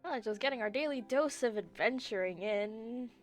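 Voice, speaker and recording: A woman's voice: fairly high pitch, moderate pace. A woman livestreaming a game, chatting casually in a laid-back, rambling, self-deprecating way. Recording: roomy echo, soft background music. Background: soft background music.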